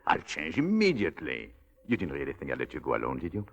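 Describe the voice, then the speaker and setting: An actor playing a controlling, manipulative husband with smooth condescension, in old-time radio drama style. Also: male voice, extremely low pitch, huge pitch swings, fast talker, lo-fi sound, exaggerated voice. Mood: smoothly courteous. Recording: vintage radio recording, orchestral music.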